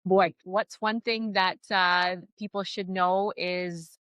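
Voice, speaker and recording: A middle-aged woman from Canada with a Canadian accent, an upbeat real estate agent chatting as a podcast guest, often pausing on "uh". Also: on the low side, fairly even intonation, fairly bright tone, smooth, no room sound, muffled recording. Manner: upbeat, chatty, frequent "uh" fillers. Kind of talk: casual podcast interview.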